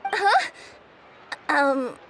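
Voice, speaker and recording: Young female courier spirit, very high pitch, girlish, earnest, flustered, hesitant, video game, faint background noise. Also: wildly swooping pitch, extremely slow, a bit bright, heavily acted. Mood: reluctant.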